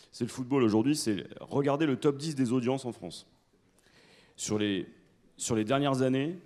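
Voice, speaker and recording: A man speaking as a conference panelist in a university lecture hall, explaining in a measured, emphatic way and putting questions to the audience. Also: mid-range voice, mellow.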